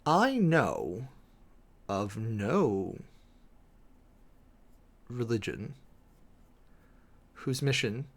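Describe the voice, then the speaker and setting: Man, mid-range voice, theatrical, formal, preacherly, deliberate phrasing, emphatic pauses, dramatic reading style. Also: medium pitch, sing-song. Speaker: preacher and commentator hosting a show. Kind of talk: dramatic reading and close-reading commentary of a legal document.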